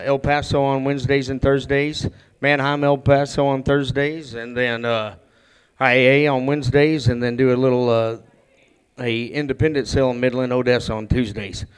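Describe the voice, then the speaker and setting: A man from Texas who works as an auctioneer, talks about his work, then calls bids in a loud rapid chant. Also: medium pitch, level tone, clean tone.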